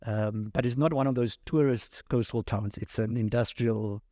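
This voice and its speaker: Middle-aged man, Southern African accent, mellow mid-pitched voice, dry recording, casual personal storytelling. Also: fairly even intonation, normal speed, no echo. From Cape Town and Namibia. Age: 40s or 50s. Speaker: podcast guest, sound engineer.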